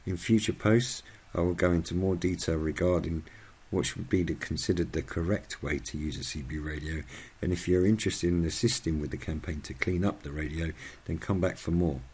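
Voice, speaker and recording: A middle-aged British man with a husky voice, reading scripted narration as the host of a video channel. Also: low-pitched, a little fast, a little mellow. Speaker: YouTube host.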